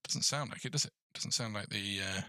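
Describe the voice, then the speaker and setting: Male tutorial host, low bright voice, muttering through code in a casual way, close dry mic. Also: a little fast, no echo. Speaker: game development tutorial host. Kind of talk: casual coding tutorial, thinking aloud.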